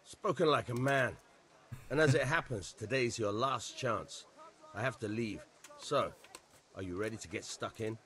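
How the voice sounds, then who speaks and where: An actor voicing a seasoned, brisk and blunt sword instructor in a medieval video game. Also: male voice, mid-range voice, sharp tone. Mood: frank, encouraging.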